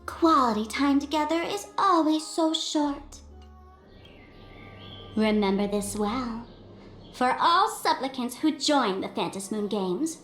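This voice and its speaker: Sly, high-voiced, unhurried enigmatic lady. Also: echoey room. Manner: slow, teasing, theatrical. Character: sly, elegant, enigmatic woman who speaks in riddles. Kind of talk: video game voice acting.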